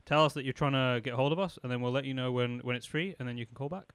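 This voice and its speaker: Smooth-voiced male host. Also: medium pitch, fast, fairly bright tone, no echo, clean studio sound. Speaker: podcast host. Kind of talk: casual interview chat.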